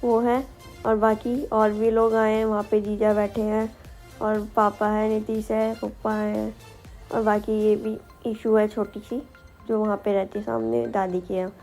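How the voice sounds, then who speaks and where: A woman's voice: medium pitch, little pitch movement, a little slow, vocal fry, a little mellow, soft background music. A young woman with a sore throat, narrating her family's festival rites as a vlog voice-over, explaining each step. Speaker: vlogger.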